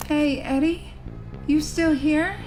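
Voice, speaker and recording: A high, slow-paced voice over music: a blunt, easygoing call-centre colleague acted by a woman. She trades casual, deadpan teasing in a natural audio drama style. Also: poor audio.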